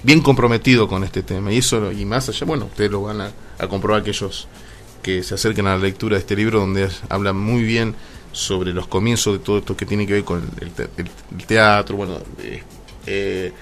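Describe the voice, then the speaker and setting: An Argentine man hosting a casual radio interview, rambling and halting, often repeating words. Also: lowish voice, steady tone, a little hiss or hum. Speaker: radio host interviewing guests. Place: radio show with guests.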